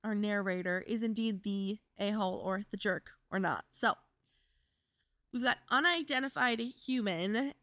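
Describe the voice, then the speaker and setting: Woman, 30s, congested, slightly froggy, upbeat chatty podcast host, bright tone, dry close mic. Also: medium pitch, a little slow, dry recording. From Wisconsin, US. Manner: upbeat, chatty, with asides while reading. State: congested from a cold. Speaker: podcast host and boudoir photographer. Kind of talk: casual podcast chat, reading stories aloud.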